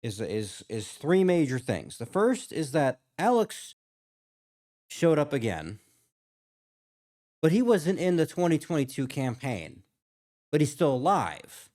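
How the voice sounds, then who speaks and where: A man's voice: on the high side, sing-song, slow, pauses often, dark tone. A man giving casual reaction commentary as a video host, rambling and thinking aloud as he goes.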